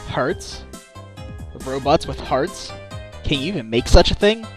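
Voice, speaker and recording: Casting: man as sincere male attorney, lightish pitch, incredulous asides, game fan dub. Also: lively intonation, measured pace, faint music behind. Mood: puzzled, surprised. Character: earnest, reactive male defense lawyer, mildly exasperated.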